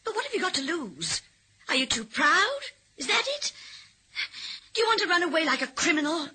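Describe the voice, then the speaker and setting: An actress in a radio drama playing an anxious, loyal wife who questions and pleads urgently. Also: female voice, very high-pitched, sing-song, fairly quick, sharp tone, heavily acted, rough recording. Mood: pleading.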